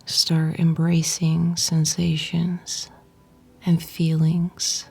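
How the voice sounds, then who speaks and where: Woman doing guided meditation, low husky whispery voice, super even and crackly. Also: faint music behind. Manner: soothing, unhurried, pausing between short phrases. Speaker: yoga nidra guide.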